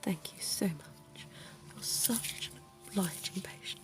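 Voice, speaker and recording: A woman voicing a centuries-old, genteel female vampire dentist in an ASMR roleplay, speaking in a soft, posh, coaxing whisper. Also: mid-range voice, a little slow, over music, lightly acted. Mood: soothing.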